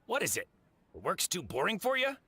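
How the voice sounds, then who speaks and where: Wildly animated, husky stall boss. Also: male voice, sits high, moderate pace, heavily acted. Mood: exasperated. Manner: scolding, plain-spoken, expressive. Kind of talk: video game voice acting.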